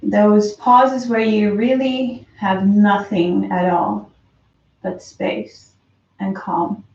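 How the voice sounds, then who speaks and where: Woman leading a slow breathing meditation, soft and calm, far off in a roomy dull recording. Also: mid-range voice. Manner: slow, soft, calm guidance with long pauses. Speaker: meditation teacher. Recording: roomy, distant, dull lo-fi sound.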